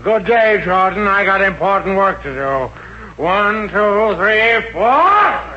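A man's voice: high voice, big pitch swings, slow, bright, forceful tone, exaggerated voice. An American actor playing a short-tempered, blustering businessman obsessed with golf in a 1950s radio comedy. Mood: indignant. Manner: blustering, stammering when flustered. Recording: muffled, noisy old radio recording.

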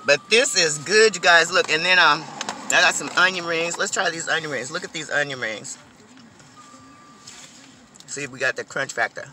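Bright-voiced chatty woman vlogging in a car. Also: deep voice, moderate pace, long pauses, a little hiss or hum. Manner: chatty, animated, addresses viewers as y'all. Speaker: food vlogger. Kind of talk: casual eating vlog. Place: inside a car.

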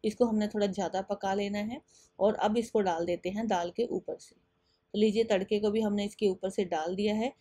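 A woman talks viewers through a recipe in a patient, instructive way, step by step. Her voice is mellow and soft-edged. The sound is close and dry, with no room around it. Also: medium pitch, fairly even intonation, normal speed, no room sound. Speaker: cooking channel host.

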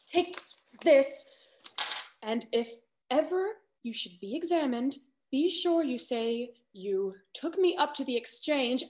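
A young woman playing a flustered, scheming gentlewoman in a theatrical Restoration comedy over a video call. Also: sits high. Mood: putting on composure. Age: young adult. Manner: theatrical, arch. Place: small room at home.

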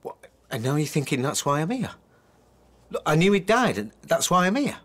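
A man acting a scheming, smooth-talking estranged husband in a naturalistic radio drama. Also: a little high, big pitch swings, normal speed, a bit bright, exaggerated voice. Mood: pleading, soothing.

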